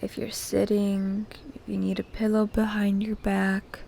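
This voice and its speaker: A woman hosting a guided meditation in a calm, gentle, soft voice that turns breathy at times, pausing between short phrases. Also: medium pitch, a little hiss or hum. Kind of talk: guided meditation, soft slow soothing delivery.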